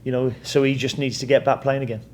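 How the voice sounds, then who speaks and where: English football coach with a flat voice. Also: male voice, mid-range voice, fast talker, a little hiss or hum. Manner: plain-spoken, repeats words while thinking, lots of "you know". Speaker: head coach. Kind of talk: press conference answers.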